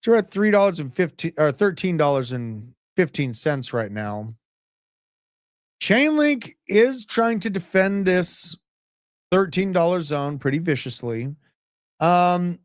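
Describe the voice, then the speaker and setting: A man in his thirties hosting a livestream, giving brash, animated market commentary and chatting with live viewers. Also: fairly high pitch, clean tone, dry recording, studio quality. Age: 30s. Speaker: crypto livestream host.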